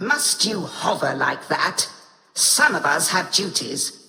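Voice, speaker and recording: Haughty, sharp-voiced tech-priest. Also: female voice, low-pitched, very animated, slow, exaggerated voice. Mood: irritated. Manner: imperious, clipped, disdainful. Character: haughty, curt female tech-priest in sci-fi game. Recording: reverberant echoing hall.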